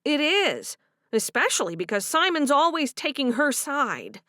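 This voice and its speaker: A woman voicing a young woman who is upset and close to tears, talking in a soft, pleading way. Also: high-pitched, huge pitch swings, unhurried, dry recording, pitched above the performer's own voice, heavily acted.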